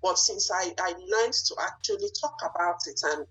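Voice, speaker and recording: A Nigerian-accented woman with a very husky, breathy voice, pitched somewhat low. English is not her first language, so she answers interview questions with fillers and restarts as she hunts for the right words. Faint music sits behind her. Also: normal speed, a bit bright, low-quality recording. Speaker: guest, lawyer and business owner.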